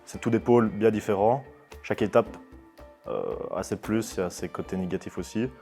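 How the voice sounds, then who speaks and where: A man's voice: lowish voice, normal speed, dark tone, soft background music. A man who works as a real estate advisor, giving a testimonial in an interview and often restarting his phrases.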